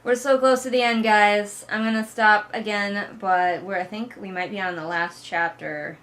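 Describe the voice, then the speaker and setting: A woman's voice: medium pitch, fairly even intonation, normal speed, a bit bright. A woman hosting a read-along, casually recapping and critiquing a story with sarcastic, exasperated commentary and casual swearing. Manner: acts out mocking character quotes. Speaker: host of a book read-along.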